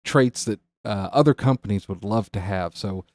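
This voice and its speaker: Lowish-voiced American man, hesitant casual talker. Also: moderate pace, a little mellow, no room sound. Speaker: podcast co-host. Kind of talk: casual discussion.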